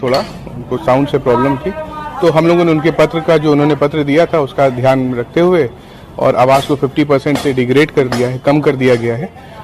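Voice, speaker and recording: A man's voice: medium pitch, fairly even intonation, brisk. A man being interviewed for the news, explaining in a conciliatory, informal way with some English words mixed in.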